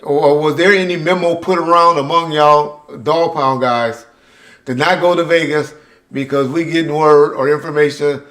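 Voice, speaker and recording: Higher-voiced American man, probing interviewer. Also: steady tone, normal speed, fairly bright tone. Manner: probing, clarifying. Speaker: podcast host. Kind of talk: casual interview questioning.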